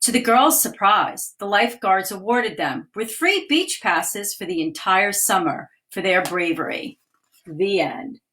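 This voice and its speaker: A woman, a children's author, reading her picture book aloud in a livestream with clear, expressive delivery and chatty asides. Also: mid-range voice, a little slow, poor audio. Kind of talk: children's storytime read-aloud. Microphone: webcam or phone for a livestream.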